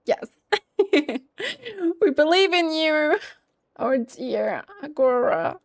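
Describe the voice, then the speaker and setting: A woman's voice: sits high, animated, very slow, dry recording, clean studio sound. Giggly woman narrating her gameplay. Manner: giggly, reads game text aloud, coos at her pet. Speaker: gaming video creator. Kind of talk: casual gameplay commentary.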